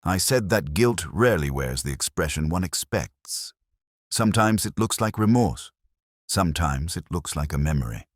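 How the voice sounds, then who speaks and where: A middle-aged man reading a scripted first-person detective story in a measured, reflective, literary way. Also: low-pitched, lively intonation, no room sound, clean studio sound. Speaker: audiobook-style narrator of a detective story.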